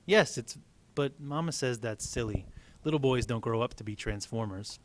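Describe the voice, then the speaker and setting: A man voicing an eager small boy in a storybook, earnest and excitable. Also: medium pitch, normal speed, close to an everyday voice. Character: eager small boy who loves toys.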